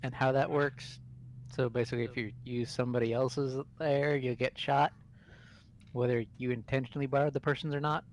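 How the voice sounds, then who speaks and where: A man's voice: medium pitch, steady tone, fairly quick, a little hiss or hum. A man in casual gaming chat, explaining things to friends.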